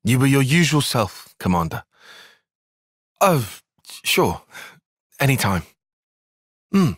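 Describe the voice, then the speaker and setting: Precise young tactician, lively pitch swings. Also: male voice, no echo, studio quality. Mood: measured, reserved. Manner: measured, formal, analytical phrasing. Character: earnest young male tactician soldier, precise and bookish. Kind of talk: video game cutscene voice acting.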